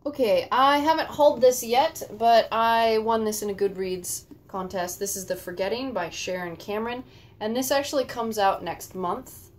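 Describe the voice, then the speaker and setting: A woman's voice: fairly high pitch, moderate pace. A woman talking casually about books as a co-host of a book channel.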